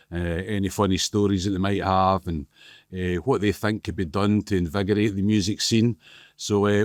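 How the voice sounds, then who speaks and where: Relaxed male host, low voice. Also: dry recording, studio quality. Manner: relaxed, joking. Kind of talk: casual show introduction.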